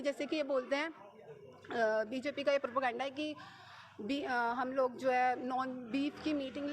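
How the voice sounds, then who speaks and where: High-voiced young North Indian woman, insistent. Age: 20s. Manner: insistent, repetitive, mixes in many English words. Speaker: local resident interviewed on the street. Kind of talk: impassioned spontaneous vox pop.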